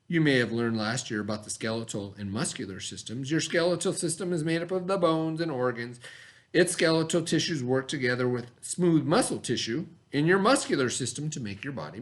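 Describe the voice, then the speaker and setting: A man with a fairly high voice reads a lesson aloud for children. He speaks as a teacher, instructional in manner, moving between the text and his own explanations and questions. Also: animated. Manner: instructional, pausing to pose and answer questions.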